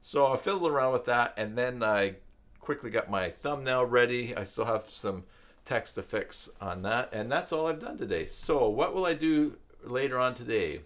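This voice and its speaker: A man's voice: medium pitch, animated, normal speed, fairly bright tone. A man in his fifties hosting a live stream, talking in a casual, rambling, conversational way. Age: 50s. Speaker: live stream host and tutorial maker. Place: downstairs room at home.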